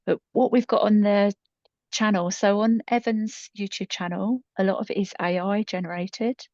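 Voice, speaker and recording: A woman's voice: medium pitch, steady tone, moderate pace, darkish tone, dry recording, muffled recording. A middle-aged woman co-hosting a podcast in casual, unscripted chat, explaining things conversationally. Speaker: podcast co-host and storytelling creator.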